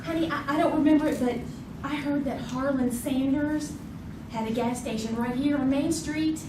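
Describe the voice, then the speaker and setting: High-pitched woman contestant, echoey and distant. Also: fairly even intonation, moderate pace. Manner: thinking aloud, familiar with partner. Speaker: quiz show contestant. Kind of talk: live quiz banter. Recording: echoey, noisy hall, off mic.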